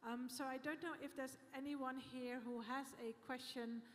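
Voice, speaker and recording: A woman's voice: high voice, steady tone, normal speed. Filler-heavy woman moderating a panel. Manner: friendly, hesitant with many fillers. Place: conference hall. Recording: long hall reverb, faint room noise.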